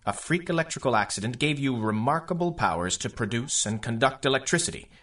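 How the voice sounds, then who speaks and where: A man's voice: medium pitch, lively intonation, fairly quick, fairly bright tone. A man playing an arrogant criminal genius in a radio superhero serial, speaking in a pompous, condescending way. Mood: wry, condescending. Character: arrogant male criminal genius, haughty asylum inmate. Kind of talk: radio drama acting in 1930s pulp serial manner.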